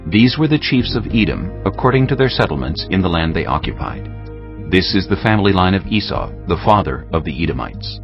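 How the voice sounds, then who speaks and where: A man narrating a dramatized scripture audiobook in a solemn, measured storytelling manner. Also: low voice, lively intonation. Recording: dull lo-fi, music bed.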